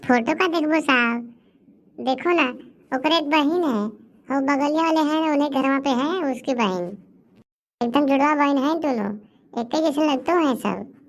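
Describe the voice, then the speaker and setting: A woman voicing a doting, dramatic village housewife in a comedy cartoon, with a Bhojpuri accent and a plaintive, lamenting manner. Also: sits high. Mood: earnest, fond. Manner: melodramatic, wailing, drawn-out lamenting. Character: dramatic, doting village housewife grieving her lost buffalo.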